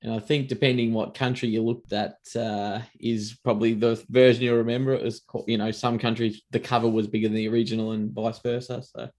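A man's voice: medium pitch, level tone, a little fast, hardly any pauses, a little mellow. A man with an Australian accent co-hosting a podcast, easygoing and thinking aloud. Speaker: podcast co-host. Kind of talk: casual podcast chat.

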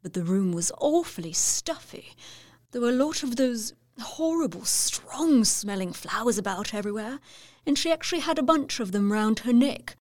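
A woman's voice: a little high, expressive, moderate pace, no room sound, clean studio sound, close to an everyday voice. An actress playing a genteel, fussy, self-satisfied middle-aged Victorian mother in an audio drama. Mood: pleased, fussing.

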